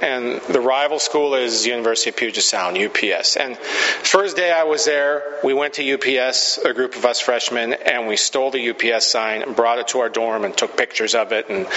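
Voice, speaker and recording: A man's voice: mid-range voice, pushed and bright, a little hiss or hum. A male pastor from the Pacific Northwest telling a story sermon, chatty and self-deprecating, laughing at his own stories. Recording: dull sound with long hall reverb.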